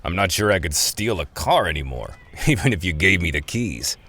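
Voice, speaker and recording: A man's voice: sits low, sing-song, moderate pace, fairly bright tone, exaggerated voice. An actor playing a world-weary, folksy thief in his seventies, wry, hesitant and slangy, in an audio drama. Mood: self-deprecating, puzzled. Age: 70s. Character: world-weary 75-year-old male ex-convict thief, folksy, skeptical.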